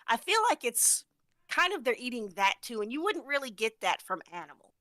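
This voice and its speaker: Bright, higher-voiced woman chatting casually. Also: very animated, a little fast, no echo, studio quality. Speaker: audio drama after-show participant. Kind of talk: casual chat.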